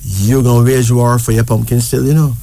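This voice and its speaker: Mellow-voiced Barbadian man reading cards. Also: mid-range voice, level tone, brisk. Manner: chatty, reassuring, tag questions. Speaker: card reader. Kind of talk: casual card reading chat.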